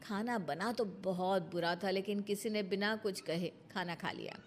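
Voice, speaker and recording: A woman's voice: medium pitch, moderate pace, slight background noise. A woman reading scripted story narration as a storyteller.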